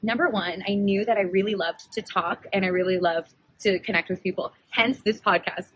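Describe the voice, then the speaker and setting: A woman's voice: medium pitch, fairly even intonation, moderate pace, fairly bright tone, no room sound, poor audio. A young woman in her twenties, hosting a podcast and telling a personal story in a chatty, rambling way, often correcting herself and laughing. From United States. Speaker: podcast host.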